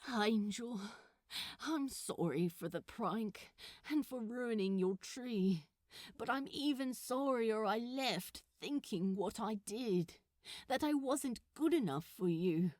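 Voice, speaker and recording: A mischievous male demon in a storybook romance, acted out by a woman, who pours out feeling in an emotional, dramatic rush. The voice sits fairly high and swings widely in pitch. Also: animated, slow pace, a little mellow, no room sound, studio quality. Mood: earnest, apologetic. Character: frantic, remorseful male demon in love, mischievous.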